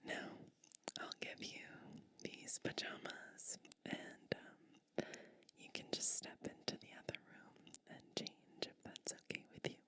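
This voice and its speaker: A woman whispering a soothing ASMR roleplay as a gentle, whimsical sleep witch, speaking breathily and deliberately with pauses between short phrases. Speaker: ASMR performer playing a bedtime witch.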